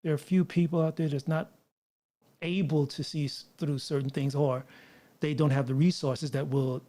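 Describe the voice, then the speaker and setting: A man's voice: fairly high pitch, steady tone, normal speed, mellow. A man hosting a casual live stream, rambling with frequent restarts and asides to viewers. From United States. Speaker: livestream host. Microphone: mixer setup with line noise.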